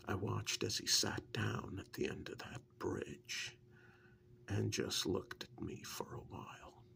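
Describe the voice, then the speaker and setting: A man playing a witch's assistant in an ASMR role-play, softly telling his story in an earnest, wary, hesitant way with a breathy, gravelly voice. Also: fairly low pitch, fairly even intonation, a little hiss or hum, heavily acted. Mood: haunted, hushed. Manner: hesitant, unhurried first-person storytelling.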